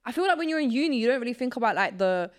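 A woman's voice: fairly high pitch, racing. A young woman in her twenties from Poland, a native Polish speaker, chatting casually as a podcast guest. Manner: relaxed, rambling, heavy use of filler words. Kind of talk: casual chat.

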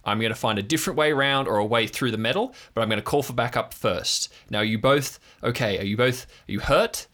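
A man voicing a flustered, earnest park ranger with a halting, nervous, reassuring way of talking. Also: mid-range voice, fairly bright tone, natural voice.

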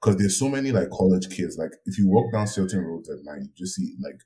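A man's voice: low voice, lively intonation, fairly quick, dark tone. A man co-hosting a casual podcast chat, telling stories and laughing easily. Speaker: podcast co-host.

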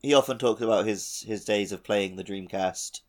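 Low-pitched man chatting about games. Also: moderate pace. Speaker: podcast host. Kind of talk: casual gaming podcast chat.

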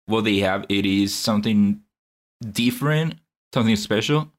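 Low-voiced, earnest male co-host. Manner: measured, earnest, with restarts. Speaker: podcast co-host. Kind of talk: casual podcast chat.

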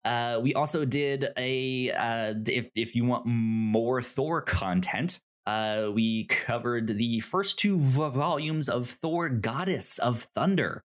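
A man's voice: mid-range voice, slow, few pauses. A man hosting a podcast, chatting in an upbeat, informal way. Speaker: podcast host. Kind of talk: casual podcast chat and housekeeping plugs.

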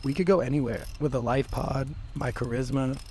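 A man's voice: mid-range voice, steady tone, a little fast, a little mellow, slight background noise, close to an everyday voice. An actor playing a restless, persuasive, flirtatious male shipmate in a naturalistic audio drama. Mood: restless, pleading.

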